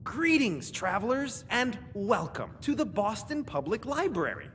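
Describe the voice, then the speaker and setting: A woman's voice: on the high side, big pitch swings, a little slow, slight background noise. A woman playing an eccentric, scatterbrained librarian in a video game, rambling and repeating themselves theatrically. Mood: fussy, muttering. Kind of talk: video game character acting.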